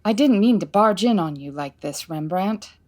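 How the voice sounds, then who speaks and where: A woman playing a brisk, wry, no-nonsense 1940s detective in a comedic old-time radio style. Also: medium pitch, animated, a little mellow, close to an everyday voice. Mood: businesslike.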